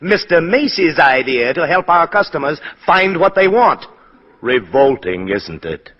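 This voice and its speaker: An actor playing an excitable, fretful, obsequious store manager with a fussy manner, in 1940s radio acting style. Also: male voice, on the high side, measured pace. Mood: fretful, wry. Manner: fussy, easily agitated. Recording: dull lo-fi 1940s radio broadcast.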